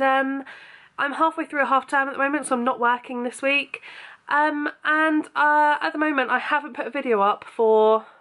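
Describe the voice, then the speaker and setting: A woman's voice: high voice, fairly bright tone. A young woman, chatting casually to camera at home, rambling with lots of ums and likes, still a bit sniffly. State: a bit sniffly after losing her voice. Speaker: vlogger. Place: bedroom and small kitchen at home. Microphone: camera held close at arm's length.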